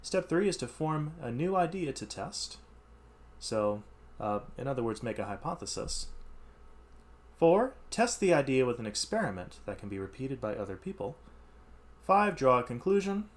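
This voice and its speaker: A man's voice: medium pitch, sing-song, normal speed, long pauses. A man reading educational text aloud as a teacher, stressing key words and adding informal explanatory asides.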